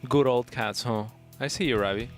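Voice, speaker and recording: A man's voice: mid-range voice, normal speed, faint music behind. A man in his thirties giving casual esports commentary, often repeating words as he goes. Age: 30s. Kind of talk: casual chat, esports analysis.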